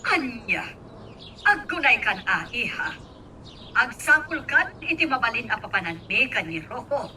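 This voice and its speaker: A woman's voice: fairly high pitch, sing-song, measured pace, bright, forceful tone, full character voice. An actress playing a stubborn, scheming middle-aged mother in an Ilocano radio drama. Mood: insistent, impatient. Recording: distant mic, faint background noise.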